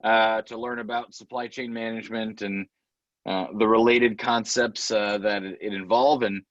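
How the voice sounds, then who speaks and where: A man's voice: fairly low pitch, fairly even intonation, normal speed, dry recording, highs cut off. A man, a professor hosting a webinar, talking in a friendly, rambling way with many fillers. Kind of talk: webinar host interview. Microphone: video call.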